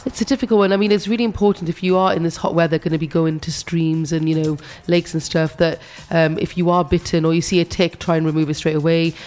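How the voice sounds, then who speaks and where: A woman with a South Welsh accent explaining medical matters in a calm, measured way. She has a slight cold, and faint music sits behind her voice. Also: sits low. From South Wales. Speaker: doctor guest. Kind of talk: medical advice on radio.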